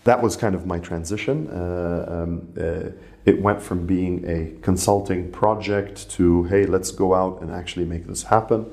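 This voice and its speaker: Deep-voiced man telling his career story. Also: steady tone, normal speed, darkish tone. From Saudi Arabia. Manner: rambling, many fillers and restarts. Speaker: guest. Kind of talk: conversational interview.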